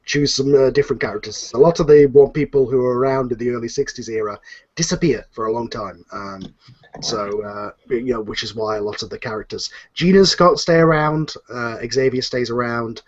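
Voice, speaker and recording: A man's voice: medium pitch, brisk. A man casually hosting a panel Q&A, talking in an informal, rambling way. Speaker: host and series creator. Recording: dull lo-fi online call audio.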